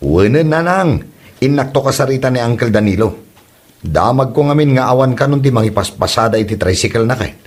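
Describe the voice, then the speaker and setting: A man's voice: mid-range voice, brisk. A young man acting in a comedic audio drama, a jokey son who drives a tricycle and laughs often. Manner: lively and teasing, laughs often. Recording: music bed behind the voice.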